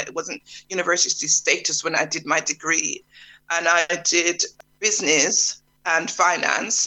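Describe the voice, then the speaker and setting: A middle-aged woman from Jamaica, recalling her life in a relaxed interview with restarts and soft laughs. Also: lowish voice, low-quality recording. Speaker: interview guest.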